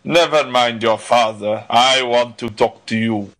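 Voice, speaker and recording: An actor playing a blustering, swaggering bully who barks imperious, insulting orders in a dramatised audiobook. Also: male voice, medium pitch, measured pace, a bit bright, lightly acted. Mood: irritated, demanding.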